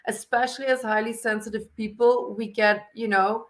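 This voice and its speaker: A woman's voice: fairly high pitch, little pitch movement, moderate pace, slight background noise. A woman from Belgium, speaking as a guest on a podcast in an earnest, candid way. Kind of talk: casual interview talk.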